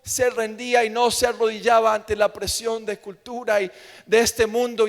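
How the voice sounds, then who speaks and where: A man with a Colombian accent preaching an evangelical sermon in an emphatic manner, repeating phrases for effect. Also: extremely high pitch. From Colombia, grew up in Medellín. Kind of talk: evangelical sermon with personal testimony. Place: large church room with long reverb. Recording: long hall reverb.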